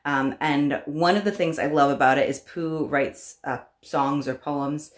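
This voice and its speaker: A woman's voice: low voice, fairly even intonation, a little slow. A woman in her thirties talking casually and conversationally to camera, giving recommendations at home and correcting herself as she goes. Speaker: homeschooling parent vlogger. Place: small room at home, indoors. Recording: roomy indoor echo.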